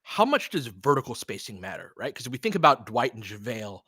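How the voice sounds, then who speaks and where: A man's voice: mid-range voice, sing-song, fast, pushed and bright, slightly rough, dry recording, studio quality. A man giving casual fan commentary and basketball analysis in an informal, conversational way, often checking for agreement.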